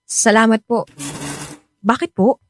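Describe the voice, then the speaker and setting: A woman's voice: a little high, slow pace. A woman playing a distressed young female teacher in a melodramatic radio drama, emotional and pleading. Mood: polite, nervous. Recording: loud dramatic music bed behind.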